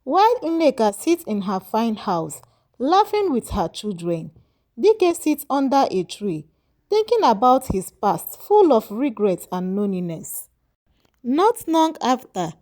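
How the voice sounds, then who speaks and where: A woman narrates a folktale very slowly and with measure. Her voice sits fairly high and moves in a lively, lilting line. The tone is soft and mellow. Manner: measured, moralizing storytelling. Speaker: storyteller.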